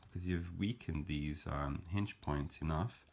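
A man calmly explaining a paper craft step by step, in a gentle, measured way with frequent fillers. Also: extremely low pitch, vocal fry. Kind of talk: calm step-by-step craft tutorial.

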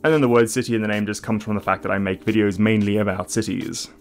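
A man's voice: lowish voice, steady tone, faint music behind. A young man from South Africa with a mixed South African and Australian accent, hosting a video that moves from scripted narration to a casual question and answer session. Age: young adult. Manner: clear, measured delivery with casual fillers in Q&A. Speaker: YouTube host.

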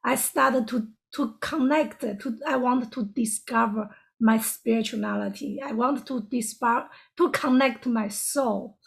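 Halting high-voiced woman with a gravelly edge. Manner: halting, searching for words, broken grammar. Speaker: guest. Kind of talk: personal story in an interview.

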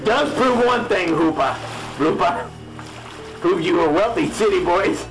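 Crusty old fisherman acted by a man, high voice, scornful, folksy, bossy, radio drama, music underneath. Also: expressive, measured pace, gravelly, exaggerated voice, poor audio. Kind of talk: amateur radio drama spoof acting.

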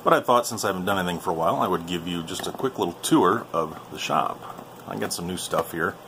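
A man's voice: deep voice, fast, background noise. A man giving a casual, folksy tour of his workshop to viewers, recorded on a handheld camera in a small room. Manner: informal, folksy, addressing viewers. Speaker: video host and radio hobbyist. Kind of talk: casual shop tour commentary.

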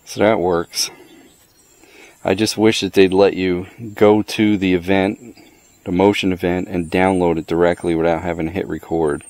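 A man's voice: sits low, flat delivery, moderate pace, darkish tone, no room sound. A man giving a casual hands-on product walkthrough outdoors, plainspoken and matter-of-fact, in short clipped phrases. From the Americas. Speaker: product reviewer. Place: outdoors under a canopy in a wooded yard.

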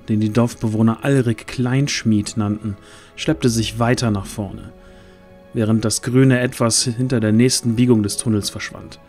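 A man's voice: medium pitch, darkish tone. Male fantasy audio drama narrator.

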